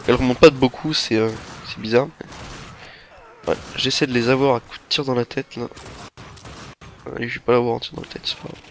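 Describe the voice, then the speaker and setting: A young man with a stuffy nose from a cold, giving rambling, halting gameplay commentary into a headset mic. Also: mid-range voice, steady tone, slight background noise. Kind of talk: game walkthrough commentary. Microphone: headset microphone.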